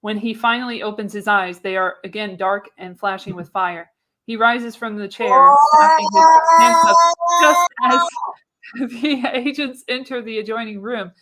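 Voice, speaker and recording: A woman's voice: fairly high pitch, unhurried, sharp tone, rough recording. A woman narrating screenplay directions for a live radio play, reading dramatically with the odd stumble. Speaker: narrator, show host.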